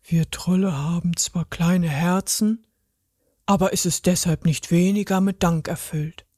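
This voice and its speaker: A woman voicing a big, clumsy but sensitive male troll, slow and bewildered. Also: on the low side, fairly even intonation, dark tone, no room sound, studio quality.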